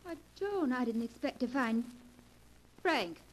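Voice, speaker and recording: A woman's voice: high voice, lively intonation, a little slow, slight background noise, poor audio. An actress in an old radio play voicing a headstrong, defiant young woman. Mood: haughty. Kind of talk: 1940s radio acting.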